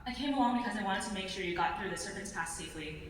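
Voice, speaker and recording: A woman playing an earnest young female warrior with a gentle, sincere manner at a live table read of a cartoon. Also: medium pitch, lively intonation, racing, lightly acted. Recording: echoey hall, distant, faint noise.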